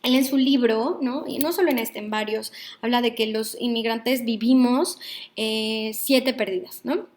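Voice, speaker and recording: A Mexican woman with a Mexican Spanish accent, a psychologist teaching an online workshop. She speaks thoughtfully and keeps checking in with her listeners, pausing and hesitating as she goes. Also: a little high, a little mellow. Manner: thoughtful, frequent "¿no?" tags and hesitations.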